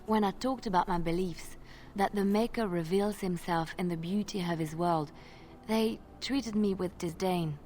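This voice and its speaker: A woman acting as a gentle young religious sister in a fantasy game. Her voice is a little low, earnest and reflective, with faint ambient noise behind it. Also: moderate pace, lightly acted. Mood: earnest conviction. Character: gentle, devout young bard, former cloister sister.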